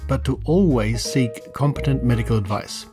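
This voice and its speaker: A man presents a podcast as its host, speaking in a mid-pitched voice. He is close on a studio microphone in a treated home room, with music in the recording. The delivery moves between prepared material and informal asides. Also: moderate pace. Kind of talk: semi-scripted podcast monologue. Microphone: close studio condenser microphone.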